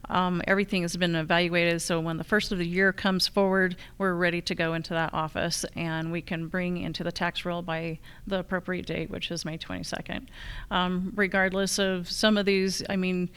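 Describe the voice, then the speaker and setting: A woman answering as a candidate at a public forum, in halting, loosely structured sentences. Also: lowish voice, little pitch movement, a bit bright, clean tone. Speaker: challenger candidate for county assessor. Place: live public forum on a college campus.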